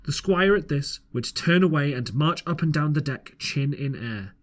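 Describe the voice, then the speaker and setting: A man's voice: on the high side, lively intonation, moderate pace. A man narrating an audiobook as an amateur reader, earnest, with the odd stumble over the text. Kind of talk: scripted storybook narration.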